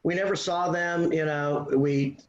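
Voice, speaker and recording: Male caller, slightly high pitch, roomy lo-fi call audio, casual halting talk. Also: fairly even intonation, a crowd in the background. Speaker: caller sharing a story. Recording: roomy, dull, light noise.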